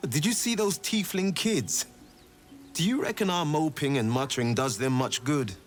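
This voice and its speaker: An actor playing a swashbuckling, boastful young monster hunter in a fantasy video game, with charming, theatrical flourishes. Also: male voice, on the high side, normal speed, soft background music, natural voice. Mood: earnest.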